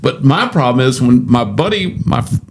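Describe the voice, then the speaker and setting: A man's voice: medium pitch, expressive, moderate pace, background noise. A man chatting casually as an interview host, telling stories in a folksy, self-deprecating way. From United States South. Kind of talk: casual chat, storytelling.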